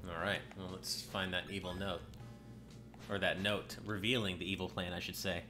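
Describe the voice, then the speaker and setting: A man's voice: low voice, little pitch movement, normal speed. A man streaming a video game, chatting casually in a slightly nasal voice and often breaking off mid-sentence as he plays. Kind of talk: casual live-stream gameplay commentary. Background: game music.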